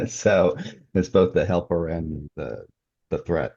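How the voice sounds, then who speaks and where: Very deep, clear-voiced older man, muffled. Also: animated, takes it slowly, warm tone. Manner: chuckles often as he talks. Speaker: interview guest, author. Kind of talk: casual podcast interview. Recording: dull, muffled lo-fi audio.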